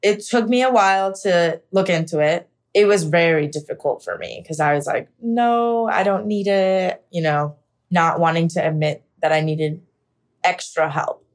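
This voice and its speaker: A young woman with an American accent shares a personal story as a guest. She speaks candidly in a slightly low voice, in a casual, conversational way peppered with "like" and "you know". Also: measured pace. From Pennsylvania, United States. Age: young adult. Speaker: podcast guest, actor.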